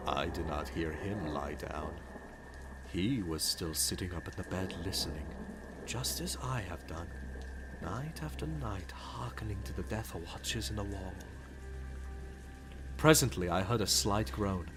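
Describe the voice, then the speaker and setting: A man giving a dramatic reading of a gothic horror story in an intense, feverish first-person delivery, swinging from loud declarations to hushed whispers. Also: low-pitched, measured pace, long pauses. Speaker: reader. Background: music.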